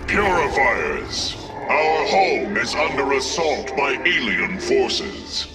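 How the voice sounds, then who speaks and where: An actor voicing a proud, ruthless robotic alien war commander in a video game, formal and commanding. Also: male voice, medium pitch, lively intonation, takes it slowly, far from the mic, loud music behind the voice. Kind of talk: dramatic video game voice acting.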